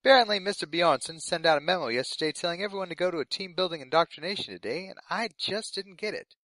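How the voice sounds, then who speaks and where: Young male radio intern, played by a man: polite, eager, nervous, lighter pitch, fiction podcast newscast, dry sound. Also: fast, fairly bright tone, dry recording, rough recording. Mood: eager, cheerful. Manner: polite, earnest delivery of absurd news. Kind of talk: scripted fiction podcast in community radio broadcast manner.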